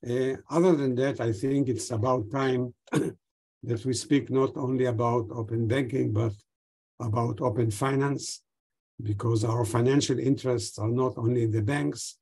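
An older man from Israel gives formal opening remarks on a video call, with hesitant pauses. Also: mid-range voice, fairly even intonation, mellow, breathy edge. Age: older, 60s or above. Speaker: fintech expert giving opening remarks.

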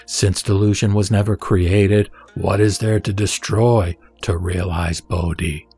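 A man gives a scripted, dramatic reading of scripture in a measured, solemn, instructive manner. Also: low voice, steady tone, darkish tone, breathy edge. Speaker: narrator and reader of scripture. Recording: background music behind the voice.

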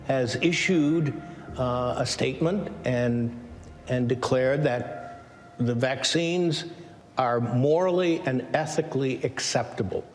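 Slow, measured older clergyman. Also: mid-range voice, big-room sound, slight background noise. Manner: measured, with hesitant fillers. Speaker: church leader interviewed. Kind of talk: interview answers. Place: indoor interview set.

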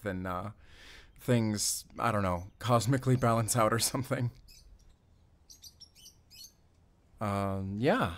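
A man's voice: fairly low pitch, close to an everyday voice. Man acting as a chatty, eager American forest ranger. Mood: shy, playful. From Arizona, US. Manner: talkative, eager, rambling, with small chuckles. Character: chatty, excitable, friendly young male forest ranger. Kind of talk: roleplay audio drama addressed to the listener.

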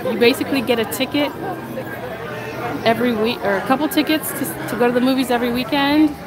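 A woman getting over a cold, her voice a little nasal, chatting casually to viewers while she walks with a handheld phone. She laughs often and restarts her sentences. Her voice sits fairly high, over a loud crowd and blaring park music outdoors. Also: poor audio. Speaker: vlog host. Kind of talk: casual live-stream chat while walking. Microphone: handheld phone camera while walking.

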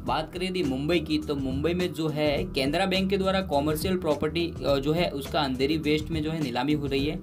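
Male news presenter, higher-pitched, casual conversational read over background music. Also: fairly even intonation, brisk, few pauses. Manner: conversational, with many fillers and restarts.

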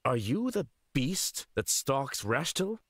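Loyal knight in a fantasy game, formal, deadpan, voice moves around a lot, dry recording. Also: male voice, medium pitch, takes it slowly, no echo, full character voice. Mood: wary, formal. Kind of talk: fantasy game character acting.

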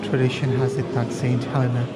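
A man giving scripted tour guide narration in measured, deliberate short phrases. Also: mid-range voice, level tone. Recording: busy crowd noise throughout. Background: loud murmur of a crowd.

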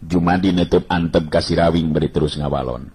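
A man's voice: extremely low pitch, fairly even intonation, a little fast, a little mellow, breathy edge. A man narrating a Sundanese folk tale as a radio-style storyteller, in scripted dramatic narration.

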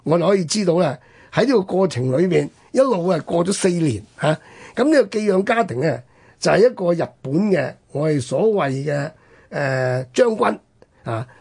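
A man's voice: on the high side, very animated, normal speed, gravelly, a little mellow, husky. A man with a Hong Kong Cantonese accent telling history conversationally as a radio host, explaining with rhetorical questions and interjections.

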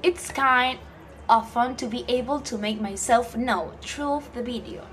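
Cheerful Ecuadorian teen girl, halting English. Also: fairly high pitch, a bit bright, a little hiss or hum. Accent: Ecuadorian Spanish. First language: Spanish. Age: teens. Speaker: student vlogger. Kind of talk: casual self-introduction to camera. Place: small room indoors. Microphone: phone front camera at arm's length.

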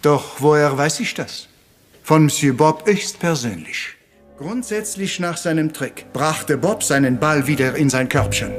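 Guy acting as little detective, highish voice, polite and theatrical, music underneath, old crime radio play. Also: close to an everyday voice. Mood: confident, revealing. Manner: courteous, deliberate, peppering speech with French words. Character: polite, theatrical little Belgian detective, patient and self-assured.

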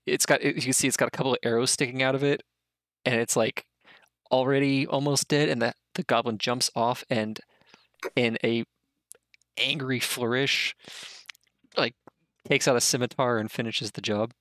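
A man's voice: medium pitch, fairly even intonation, moderate pace, pauses often, fairly bright tone, no room sound. A man in his thirties narrating a tabletop game as game master over a video call, rambling with lots of fillers. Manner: rambling, filler-heavy, often laughing.

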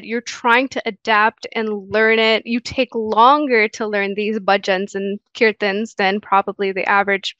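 A young woman talks earnestly through a headset on a video call, stumbling into restarts and fillers as she goes. Her voice sits mid-pitch and sounds dry and a bit dull, with the lo-fi quality of call audio. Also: a bit bright, no echo. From Guyana. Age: young adult. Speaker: interview guest. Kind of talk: casual interview answers. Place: small room indoors.

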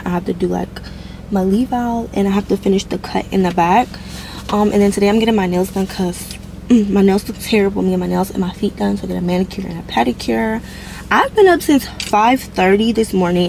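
A woman's voice: medium pitch, gravelly, a little mellow, a little hiss or hum, poor audio. A young American woman chatting casually to viewers in a vlog. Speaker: lifestyle vlogger.

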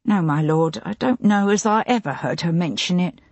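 A woman voicing a plump, tearful older housekeeper who talks on anxiously and pleadingly, with a breathy voice. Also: lowish voice, moderate pace, darkish tone, no room sound. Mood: earnest.

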